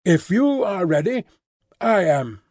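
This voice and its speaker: A man's voice: sits high, very animated, slow pace, darkish tone, no echo, voice pushed up, full character voice. A man voicing a fussy, bustling Englishwoman housekeeper. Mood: emphatic. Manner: emphatic, bustling, prim.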